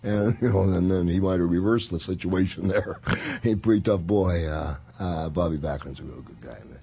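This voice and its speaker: A man's voice: low voice, moderate pace, warm tone, breathy edge. An older man hosting a podcast, telling swaggering anecdotes in a casual chat with frequent laughter. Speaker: podcast host.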